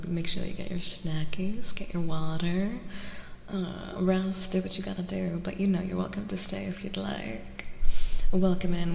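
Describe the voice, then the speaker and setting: A woman livestreamer speaks in a soft, lowish voice with an unhurried, very slow delivery, often close to a whisper. She is welcoming, repeating little phrases as she chats to viewers. The sound is roomy and reverberant, with faint noise behind her. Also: gravelly. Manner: welcoming, repeats phrases, drifts between asides. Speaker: livestream host. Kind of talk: soft-spoken ASMR livestream chat.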